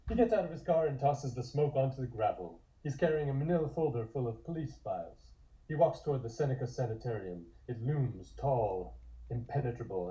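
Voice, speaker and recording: A man narrates script directions in a measured, descriptive way. His voice is of medium pitch and very dark and mellow, with no edge at all. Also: poor audio. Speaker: narrator reading stage directions.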